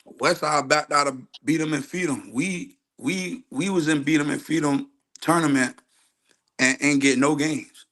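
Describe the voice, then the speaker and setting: Fairly high-voiced man arguing about sports. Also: a little slow, fairly bright tone. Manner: slangy, emphatic storytelling with repeated phrases. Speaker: youth football coach, show guest. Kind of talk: casual, argumentative sports chat.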